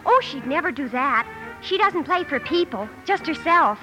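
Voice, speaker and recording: A woman acting a proper, excitable young girl with affected elegant speech in a 1950s radio drama. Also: high-pitched, normal speed, rough recording. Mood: gushing. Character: proper, excitable young girl who misuses fancy words. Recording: old radio broadcast with music behind.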